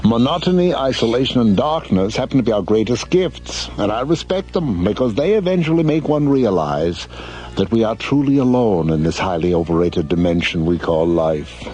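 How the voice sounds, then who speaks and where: An actor playing a courteous, brooding mortician villain in an audio drama, speaking in a florid, measured, theatrical way. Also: male voice, on the low side, expressive, dark tone, natural voice, poor audio. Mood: brooding, lofty.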